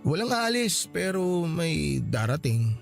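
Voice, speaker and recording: A man playing a sheepish, soft-hearted husband in a Tagalog radio drama, hesitant and defensive. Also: fairly high pitch, big pitch swings, takes it slowly, vocal fry, exaggerated voice. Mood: nervous, hesitant. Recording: music bed under the voice.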